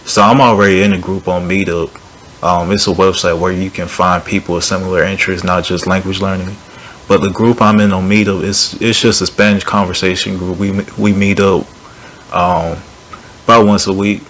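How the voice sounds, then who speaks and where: Deep, flat-voiced young man vlogging. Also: normal speed, slight background noise, poor audio. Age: young adult. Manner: relaxed, rambling, with fillers and restarts. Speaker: language learning YouTuber. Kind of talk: casual vlog talk to camera.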